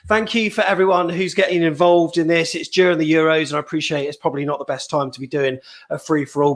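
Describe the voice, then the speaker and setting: Brief: male fan-show host, chatty, upbeat, voice sitting a touch high, over a video call. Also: a bit bright. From England. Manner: chatty, upbeat, quick asides. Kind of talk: casual live fan show chat. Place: remote location on video call.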